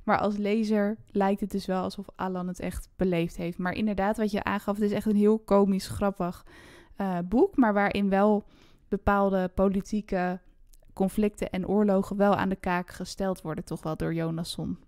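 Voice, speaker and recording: A woman's voice: medium pitch, little pitch movement, moderate pace, a little mellow, no echo, clean studio sound. A woman co-hosting a book podcast, talking about books in casual conversation.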